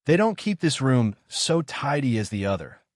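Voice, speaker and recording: A man's voice: medium pitch, sing-song, normal speed, no echo, clean studio sound, voice pushed up, natural voice. A man voicing a curious, kindly young girl, chatty and full of wonder, in a storybook reading. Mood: observant.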